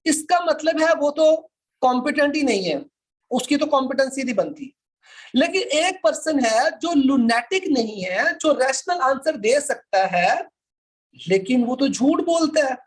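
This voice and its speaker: A man with a Pakistani accent lecturing students online, explaining didactically, repeating points for emphasis and mixing in English terms. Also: very high voice. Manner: mixes English terms into speech. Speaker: law lecturer.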